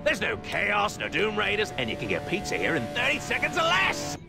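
An actor playing a manic, gloating werewolf showman villain with a gravelly, theatrical voice in a video game. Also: high-pitched, wildly swooping pitch, brisk, full character voice. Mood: gloating, taunting. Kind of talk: video game cutscene acting. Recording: music behind the voice.

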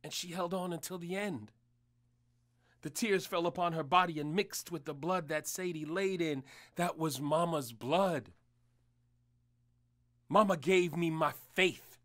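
A man's voice: a little high, unhurried, long pauses, a bit bright. An actor playing a defensive, devout husband and father in an audio drama, earnest and solemn. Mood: solemn, anguished. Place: small recording studio. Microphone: studio mic on boom.

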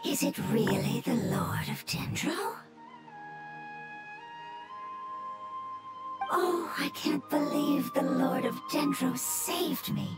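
A woman voicing a polite, scholarly talking mushroom creature in a video game, breathy and whispery, with formal, literal, precise phrasing. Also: sits high, slow pace. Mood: grateful awe. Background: loud music.

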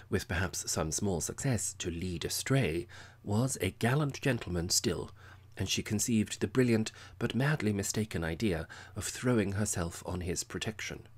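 Male audiobook narrator, lowish voice, wry and measured literary storytelling. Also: slightly rough.

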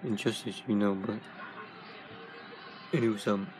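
A man's voice: lowish voice, fairly even intonation, unhurried, noisy background, close to an everyday voice. A man voicing a laid-back male robot toy hero in a home toy video, talking with lots of fillers and restarts. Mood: easygoing. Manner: rambling, many fillers.

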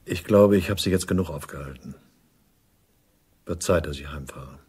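An actor playing a weary, gruffly reserved middle-aged security officer in a 1980s radio play, terse and formal. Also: male voice, extremely low pitch, normal speed, darkish tone, full character voice.

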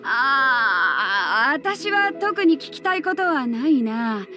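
A woman's voice: high-pitched, big pitch swings, slow pace, over music, full character voice. A woman playing a bold, breezy ship captain in an anime game, with a brash, casual, tomboyish manner.

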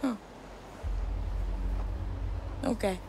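An actress playing a brash, foul-mouthed young raider in a video game, blunt and sneering. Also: female voice, quick pace, natural voice. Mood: threatening. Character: brash, foul-mouthed, violent twin sister of raider gang. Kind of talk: video game villain acting. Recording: clear vehicle and ambient noise behind.

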